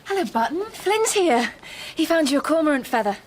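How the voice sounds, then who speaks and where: A woman's voice: high voice, sing-song, a little fast, a little hiss or hum. A woman playing a gushing, over-friendly adult cooing at a child in a sing-song manner in a radio drama. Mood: cooing, eager.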